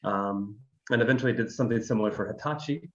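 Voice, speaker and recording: Low-voiced man from Alabama, rambling. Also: fast. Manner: rambling, many ums and repeated words. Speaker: guest, design firm founder. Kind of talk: conversational interview. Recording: dull, lo-fi sound.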